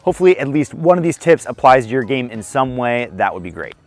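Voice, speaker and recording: A young man with a slightly hoarse voice hosts a casual disc golf tips vlog outdoors on a course, friendly and self-deprecating as he explains. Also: mid-range voice, sing-song, quick pace, faint music behind. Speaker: disc golf video host.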